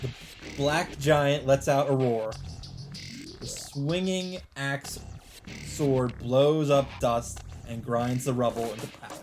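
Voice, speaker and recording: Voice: mid-range voice, slow, a little mellow, faint music behind. A let's player reading a visual novel aloud in dramatic narration, breaking off for casual asides and exclamations.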